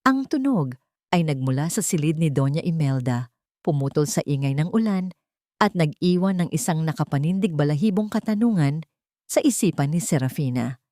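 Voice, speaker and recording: A woman's voice: deep voice, animated, normal speed, warm tone, no echo, clean studio sound. A woman reading a scripted romance drama story as its narrator, in a dramatic storytelling style.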